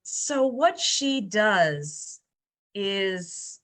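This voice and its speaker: A woman playing a tabletop roleplaying game, improvising narration in deliberate broken phrases and chatting casually at the table. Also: mid-range voice, big pitch swings, fairly bright tone.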